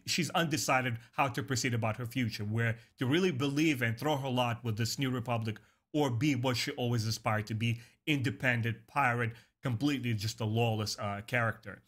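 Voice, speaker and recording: A man's voice: mid-range voice, a bit bright. Man hosting a casual book review. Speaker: host of a book review show. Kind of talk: casual video review with plot summary.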